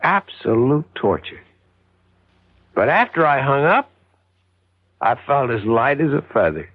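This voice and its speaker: Unhinged, swooping-voiced radio drama murderer. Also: male voice, mid-range voice, very animated, a little slow, no room sound. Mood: strange elation. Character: meek man turned exultant, unhinged murderer. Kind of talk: 1970s radio drama acting. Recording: dull vintage radio broadcast recording.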